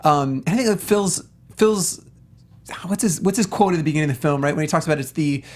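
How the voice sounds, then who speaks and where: A man's voice: on the high side, brisk. A man co-hosting a casual film discussion show, speaking with emphatic, earnest enthusiasm. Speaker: talk show co-host.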